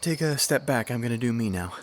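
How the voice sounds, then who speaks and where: A man's voice: mid-range voice, animated, quick pace, very noisy, lightly acted. A man playing an earnest, eager young unicorn student of magic in a fan radio drama. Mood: friendly, explaining. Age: young adult.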